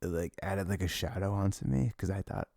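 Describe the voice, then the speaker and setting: A young man talking in a casual, unscripted, intimate ASMR style, rambling and halting with a lot of "like", his gravelly voice often sinking toward a whisper. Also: no echo, clean studio sound. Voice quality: low, gravelly, breathy, often dropping near a whisper. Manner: rambling, halting, frequent "like", soft chuckles. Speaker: ASMR audio creator playing a comforting boyfriend.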